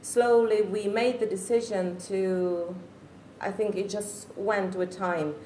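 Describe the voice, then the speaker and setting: A woman's voice: medium pitch, steady tone, takes it slowly, dark tone. A middle-aged woman from the Czech Republic with a Czech accent, a Czech native speaker, giving an earnest, reflective personal testimony as a parent and searching for her words. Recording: echoey room with faint noise.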